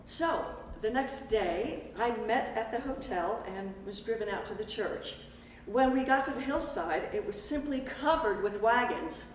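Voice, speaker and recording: A woman on stage playing an earnest, gracious school organizer of the 1920s, politely speaking and telling her story in a measured, genteel way. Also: on the high side, animated. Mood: storytelling. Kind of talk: amateur stage play, narrating and acting. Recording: distant echoey hall recording, background noise.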